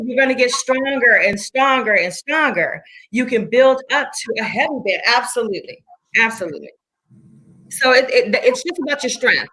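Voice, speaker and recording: A woman's voice: fairly high pitch, a bit bright, rough recording. A woman in her forties, a fitness instructor, chatting enthusiastically and repeating herself for emphasis. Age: 40s. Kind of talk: casual chat.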